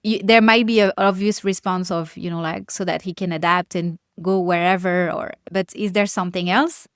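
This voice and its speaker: A woman's voice: on the low side, steady tone, moderate pace, smooth, no echo, clean studio sound. A woman hosting a conversation, asking curious, probing questions. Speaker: podcast host. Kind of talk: interview questions.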